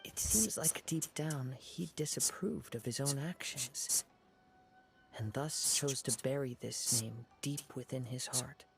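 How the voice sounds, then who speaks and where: A man voicing a calm, poetic young wandering swordsman in a video game, soft-spoken and formal. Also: mid-range voice, slow pace, vocal fry, background noise.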